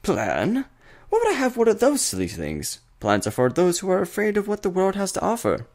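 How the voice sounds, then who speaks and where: A man voicing an eccentric, cheeky chimera prince from a fantasy story, theatrical and teasing, with a smooth voice. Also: sits high, wildly swooping pitch, normal speed, a little mellow, voice pushed up, full character voice. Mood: cheerful, breezy. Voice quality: smooth voice with an oddly placed accent.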